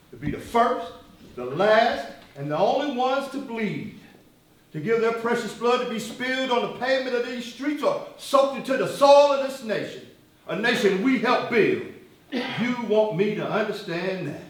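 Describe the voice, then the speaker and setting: A very echoey, distant stage recording carries a male actor as a fiery male activist leader. His voice is high for a man, and he argues with defiant, sardonic emphasis, building his points like an orator. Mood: defiant, indignant. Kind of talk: theatrical stage acting, rhetorical speeches. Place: stage performance, recorded from far off.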